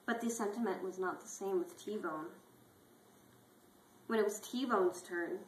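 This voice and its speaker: Woman doing dramatic parody storybook reading, slow w pauses, echoey room and bit of noise. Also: medium pitch. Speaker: story reader.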